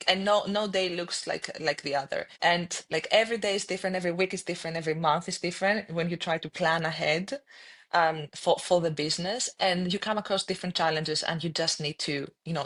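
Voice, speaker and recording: Low-voiced woman founder. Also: fairly bright tone. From Greece. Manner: reflective, frequent restarts and fillers. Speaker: guest, startup founder. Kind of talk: podcast interview answers.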